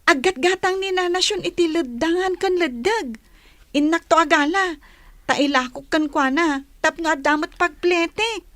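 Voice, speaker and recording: A woman's voice: very high-pitched, normal speed, a bit bright, no room sound. A woman playing a homesick, plaintive young granddaughter from a village in an Ilocano radio drama. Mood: earnest.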